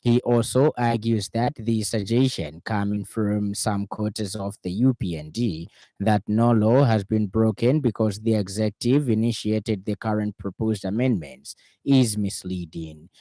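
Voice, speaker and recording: A man with a Zambian accent reading a scripted radio news bulletin in a formal, measured way as the anchor. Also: lowish voice, dark tone, no room sound.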